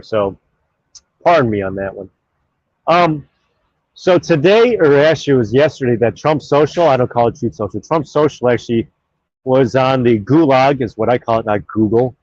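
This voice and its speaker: A man's voice: mid-range voice, a little mellow, no echo. Rambling man on a dull, dry stream mic. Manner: rambling and offhand, with many fillers. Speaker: live stream host. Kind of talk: casual chat, reading viewer comments aloud.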